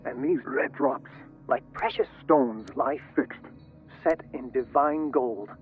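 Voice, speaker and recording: An actor playing a solemn scholar obsessed with occult secrets, reading letters formally through a radio-like filter in a video game. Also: male voice, mid-range voice, slow pace, faint music behind. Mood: solemn, confessional. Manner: formal, literary. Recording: tinny, narrow radio-like sound.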